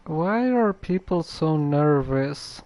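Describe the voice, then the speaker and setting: A woman streamer giving casual, halting, deliberate commentary on a game. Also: low-pitched, lively intonation, dark tone.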